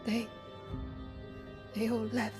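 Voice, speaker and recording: A haunted village wife from a fantasy game. She speaks very slowly and haltingly in a weary voice, with loud music swelling behind her. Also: a little high, monotone. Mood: haunted, sorrowful. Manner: halting, sombre. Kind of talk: cinematic fantasy game acting.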